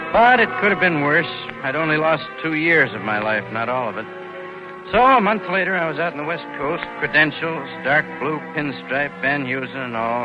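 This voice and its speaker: Actor as a glib, animated American con man, old radio. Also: mid-range voice, close to an everyday voice. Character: glib, cynical American con man posing as bank clerk. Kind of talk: 1940s radio crime drama acting and narration. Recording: dull vintage radio recording, organ music.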